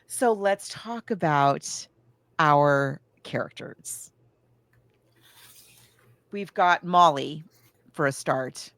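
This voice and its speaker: A woman with a fairly low voice talks like a podcast host in a casual book discussion. She is chatty and enthusiastic, leaning on emphatic words. The sound is very dry, with no room echo.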